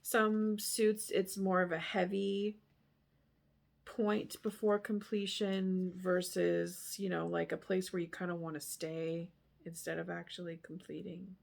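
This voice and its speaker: A woman giving a casual, rambling tarot reading in a candid, musing manner, sometimes trailing off into hushed asides. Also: lowish voice, flat delivery, a little slow, gravelly. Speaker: tarot reader.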